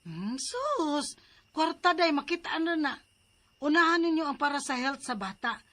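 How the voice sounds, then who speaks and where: A woman's voice: sits high, big pitch swings, measured pace, pushed and bright, exaggerated voice. A woman playing a concerned, insistent friend in a radio drama, urging and emphatic, with a Cebuano accent. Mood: urgent concern.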